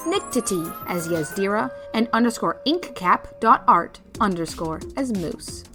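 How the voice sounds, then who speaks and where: Animated woman reading credits over music. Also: mid-range voice. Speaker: show announcer. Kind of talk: scripted podcast outro, reading credits.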